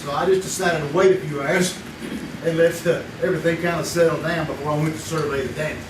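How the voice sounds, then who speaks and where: A man's voice: on the high side, fairly even intonation, quick pace, close to an everyday voice. An actor with a Kentucky accent playing a grown man who confesses, with a folksy chuckle, to an old bank blast in a live old-time radio drama. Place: live theater stage. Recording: echoey stage audio from far off, audible noise.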